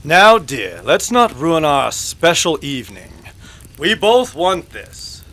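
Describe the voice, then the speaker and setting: An actor playing a creepy, possessive man in an old-time western radio drama, speaking in a slow, cloying, theatrical way. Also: fairly high pitch, very animated, fairly bright tone, exaggerated voice. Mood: predatory, insistent. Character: creepy, possessive older male saloon regular stalking a woman.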